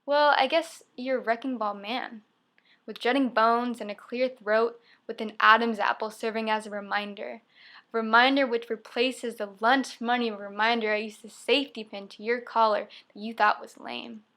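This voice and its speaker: A young woman delivers spoken-word poetry. Her voice sits a little high and has a bright, forward edge. She recites expressively, breaking the verse into short, emphatic phrases. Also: steady tone, moderate pace. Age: young adult. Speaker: poet.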